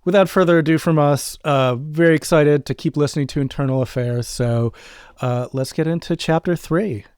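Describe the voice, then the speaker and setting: A man's voice: a little high, normal speed, darkish tone, clean tone, no room sound, clean studio sound. A man co-hosting a podcast, talking casually and explaining things in a conversational way. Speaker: podcast co-host and audio drama creator.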